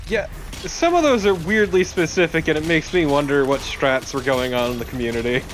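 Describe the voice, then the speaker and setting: A man's voice: a little high, brisk, a bit bright, a little hiss or hum. A man chatting casually as a co-commentator on a gameplay video. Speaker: let's play co-commentator. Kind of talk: casual chat. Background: video game music.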